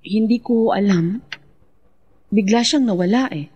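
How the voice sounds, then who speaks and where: A woman's voice: medium pitch, measured pace, dark tone, lo-fi sound, a little hiss or hum, close to an everyday voice. A woman with a Filipino accent playing a grieving, devout young widow in a radio drama, earnest and emotional. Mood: subdued.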